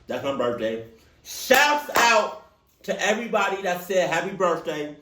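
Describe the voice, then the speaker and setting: A man's voice: high-pitched, unhurried, slight background noise. Young guy cracking jokes over a video, voice jumps all over, echoey room. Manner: joking, teasing, animated asides. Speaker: reaction video host. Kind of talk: casual reaction commentary.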